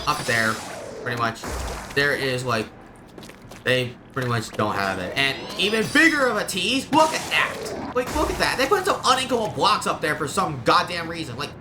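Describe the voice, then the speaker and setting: A man giving unscripted live game commentary in a rambling, opinionated way, explaining as he goes. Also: a little high. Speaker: game streamer. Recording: clear background noise.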